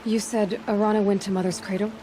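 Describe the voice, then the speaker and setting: An actress playing a defiant, wry young outcast huntress in a video game, speaking in a dry, sardonic way. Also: female voice, mid-range voice, flat delivery, quick pace, background noise, lightly acted. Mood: earnest, resigned. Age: young adult. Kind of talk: video game dialogue acting.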